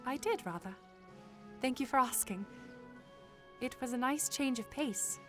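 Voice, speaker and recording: A woman's voice: sits high, unhurried, faint music behind. A woman voicing a poised, gracious young princess in a fan audio drama, speaking with polite, refined phrasing. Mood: warm, polite.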